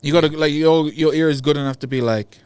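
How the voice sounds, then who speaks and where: Male, smooth and slightly high, informal slangy conversational questioner. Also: steady tone, fast talker, darkish tone, no echo. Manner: slangy, informal, says bro often. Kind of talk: casual chat, asking questions.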